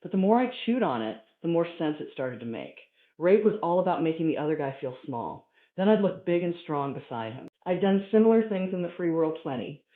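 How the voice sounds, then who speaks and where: Echoey, phone-like voice reading a comic story. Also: mid-range voice. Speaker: reader of a humorous story. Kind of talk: scripted comic first-person narration, read aloud. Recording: echoey room, thin phone-like sound.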